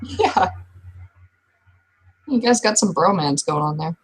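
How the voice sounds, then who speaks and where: A woman playing a guarded, mouthy werewolf woman who talks bluntly and haltingly in an improvised roleplay. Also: on the low side, lively intonation, slightly rough, heavily acted, rough recording. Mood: casual. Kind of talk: improvised in-character roleplay dialogue.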